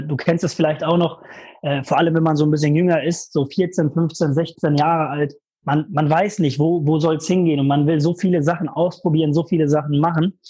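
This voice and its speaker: A young man telling a lively personal story in a casual interview, full of fillers and emphasis. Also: a little high, slightly rough. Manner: energetic, animated storytelling with many fillers. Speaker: guest, former mastermind participant.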